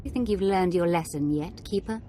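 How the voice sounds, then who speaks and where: A woman's voice: fairly low pitch, fairly quick, very noisy, close to an everyday voice. An actress playing a capricious, sadistic young noblewoman in a game cutscene, imperious and playfully menacing. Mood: mocking, haughty. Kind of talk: video game cutscene acting.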